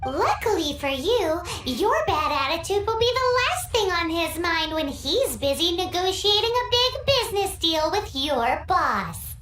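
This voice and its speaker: A woman's voice: extremely high pitch, very animated, a little slow, few pauses, heavily acted. A woman voicing a tiny, bratty, chatty fairy companion who whines and talks about herself in the third person. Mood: haughty. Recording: echoey game audio over loud music. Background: game music.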